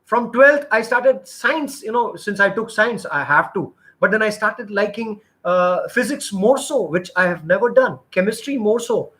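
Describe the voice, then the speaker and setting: A middle-aged man coaches job applicants in a live session. His voice sits high, and he talks in an earnest, rambling way, often restarting his sentences. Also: lively intonation, moderate pace, hardly any pauses, bright, forceful tone. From India. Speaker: interview trainer.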